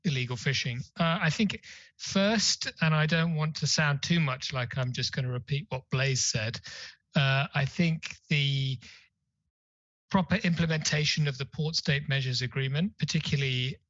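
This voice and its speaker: A man's voice: fairly high pitch, normal speed, a bit bright, no room sound, lo-fi sound. A man answering a question on a conference panel, talking hesitantly with many fillers and restarts.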